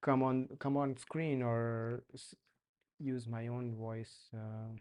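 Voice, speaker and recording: A man's voice: mid-range voice, little pitch movement, slow pace, a little mellow, clear, resonant tone. A young man with an Indian accent chatting casually while coding on a live stream, hesitant with many fillers and restarts. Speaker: streamer learning to code.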